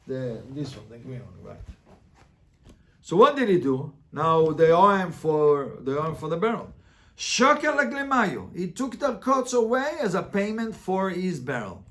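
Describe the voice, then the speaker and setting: A man teaching an interactive Talmud class as a rabbi, reading the text and translating it phrase by phrase with animated repetition and rhetorical questions. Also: on the high side, a little slow.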